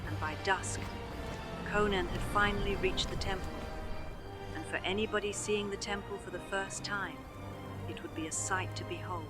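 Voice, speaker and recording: A voice actress narrating as a lone mother telling her tale in slow, measured storybook phrasing, with a music score behind her. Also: medium pitch, steady tone, lightly acted. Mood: wistful.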